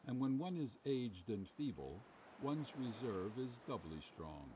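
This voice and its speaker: A man's voice: medium pitch, big pitch swings, a little slow, warm tone. A man playing the narrator of a macabre old tale, reading formal literary prose for a game. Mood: grimly wry. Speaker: story narrator. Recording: distant, noisy game audio with ambience.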